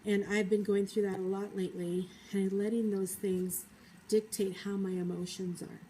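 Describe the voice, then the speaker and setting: A woman with a cold, talking through her feelings in a thoughtful, hesitant personal vlog monologue with many pauses. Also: mid-range voice, mellow, slight background noise, poor audio. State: has a cold. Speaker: vlogger.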